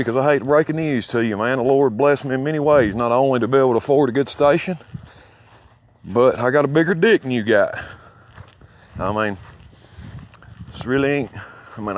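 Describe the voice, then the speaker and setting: A man with a Southern Alabama accent rambling casually in a boastful, folksy, foul-mouthed way as he talks outdoors. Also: medium pitch. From southern United States. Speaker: CB radio hobbyist filming his own video. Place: outdoors near his home.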